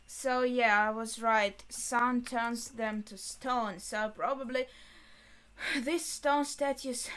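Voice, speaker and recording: A woman in her twenties giving casual reaction commentary, thinking aloud in a halting way in a small bedroom. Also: a little high, fairly bright tone, smooth. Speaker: reaction video host.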